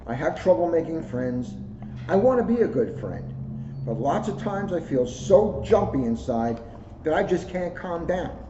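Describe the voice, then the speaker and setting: Male read-aloud storyteller, soft-edged mellow tone, recorded in a roomy space with muffled, noisy lo-fi sound. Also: fairly high pitch, sing-song, normal speed. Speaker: police officer reading a children's book aloud. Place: indoors, second floor of a borough hall. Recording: roomy echo, dull lo-fi sound, clear noise.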